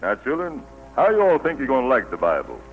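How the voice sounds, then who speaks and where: An actor playing a kindly elderly preacher with a Southern US accent, telling stories in a folksy, patient way in 1940s radio style. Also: male voice, medium pitch, wildly swooping pitch, fast, slightly rough, heavily acted, poor audio. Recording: old 1940s radio broadcast, music behind.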